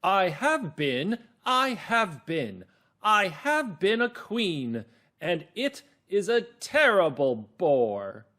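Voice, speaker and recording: A man voicing a petulant, willful queen for children's reader's theater, with slow, emphatic delivery. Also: sits high, big pitch swings, sharp tone, voice pushed up, full character voice. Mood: petulant, chanting. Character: petulant, willful storybook queen bored of royal life.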